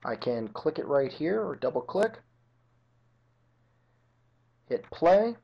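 A man narrating an informal software tutorial as an instructor, explaining each step methodically. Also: on the high side, very animated, slow pace, vocal fry. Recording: dull lo-fi computer screen-recording audio.

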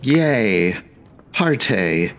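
A man's voice: fairly low pitch, sing-song, extremely slow, very noisy. A man doing casual let's play commentary as a gaming host, with playful, sing-song reactions. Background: game music.

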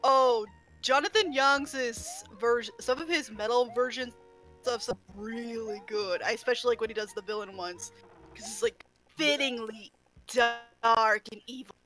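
A woman with a bright, slightly high voice chats casually over a retro game stream. She laughs often and sometimes trails off while hunting for a word. Soft music plays behind her. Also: measured pace, low-quality recording. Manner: chatty, laughs often, searches for words.

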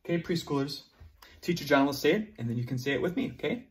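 A young man teaching preschoolers online at a whiteboard, talking slowly and encouragingly in a sing-song way, moving toward and away from the camera. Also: fairly high pitch, animated, slight background noise. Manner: slow, sing-song, encouraging teacher talk to small children. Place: classroom at a whiteboard. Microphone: camera close up, moving away to write.

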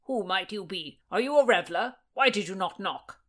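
A sour young Tudor lord, acted by a woman narrator, haughty and quick to take offence. He splutters indignantly and curses in the manner of a historical storybook villain. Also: medium pitch, lively intonation, bright, forceful tone. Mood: suspicious, haughty. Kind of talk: audiobook character dialogue.

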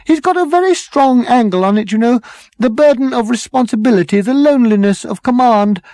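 Strident, very shrill marketing girl acted by a narrator. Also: expressive, brisk, a little mellow, no echo, close to an everyday voice. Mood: smug, insistent. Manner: condescending, insistent. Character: strident, smug young female marketing executive.

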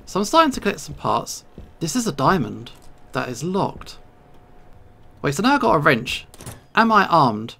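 A man giving casual let's play commentary, thinking aloud in short, halting remarks. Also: on the high side, very animated, gravelly, bright, forceful tone. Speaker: video game player and host.

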